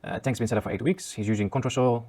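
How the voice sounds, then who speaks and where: A man's voice: mid-range voice, fairly even intonation, racing, darkish tone. A man hosting a casual review show, easygoing and chuckling often as he trails off and restarts sentences. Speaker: aquascaping channel host.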